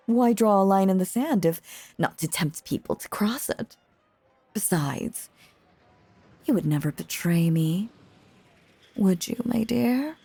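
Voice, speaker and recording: Woman acting a silky, breathy, sinister lady magician. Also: mid-range voice, dark tone, dry recording, exaggerated voice. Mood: veiled menace. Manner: theatrical, flirtatious, silky and teasing. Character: sinister, seductive female stage magician, possessively doting. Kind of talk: intimate audio roleplay, scripted acting.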